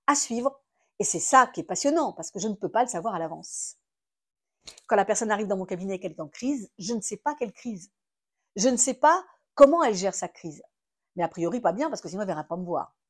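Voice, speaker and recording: A woman's voice: medium pitch, husky. French woman teaching, rhetorical and meandering. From France. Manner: thinks aloud with many fillers and restarts, asks rhetorical questions. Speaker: astrotherapist and trainer. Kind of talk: explanatory teaching talk.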